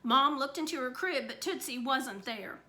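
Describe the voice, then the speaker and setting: Woman reading a kids' story aloud, high bright voice, very lively. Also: normal speed. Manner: expressive, animated. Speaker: read-aloud reader.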